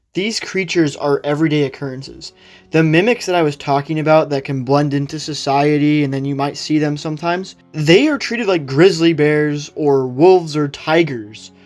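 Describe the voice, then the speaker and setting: A man with a slightly high voice hosts a casual explainer. He speaks conversationally and shares his opinions freely. Light music plays underneath his commentary. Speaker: video host. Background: background music.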